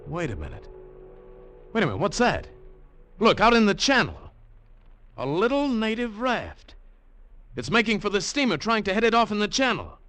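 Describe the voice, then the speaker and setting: A man's voice: high voice, huge pitch swings, moderate pace, pauses often, fairly bright tone, full character voice. A man playing a world-weary American adventurer who tells his own hard-boiled tale in a 1940s radio drama. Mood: urgent, excited. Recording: old 1940s radio broadcast, music underneath.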